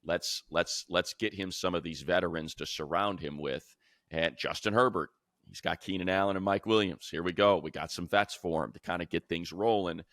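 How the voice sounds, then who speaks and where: A man's voice: sits low, normal speed, a bit bright, no room sound, clean studio sound. A man co-hosting a sports show, giving unscripted analysis and repeating phrases for emphasis. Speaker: sports show co-host and commentator.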